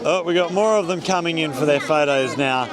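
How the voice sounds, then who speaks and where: An easygoing Australian man talks his viewers through an outdoor festival, chatting as he strolls. His voice sits a little high for a man. Loud crowd chatter fills the background. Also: fairly quick. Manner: chatty, easygoing tour-guide commentary. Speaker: vlogger. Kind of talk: casual walk-and-talk vlog narration. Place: outdoors at a busy public festival.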